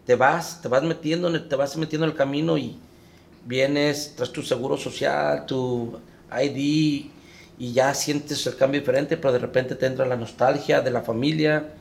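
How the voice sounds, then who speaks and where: A man's voice: medium pitch, fairly even intonation, vocal fry, slight background noise. Reflective middle-aged man. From Mexico. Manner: reflective, halting, emotional. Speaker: guest, father. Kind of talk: interview, personal story.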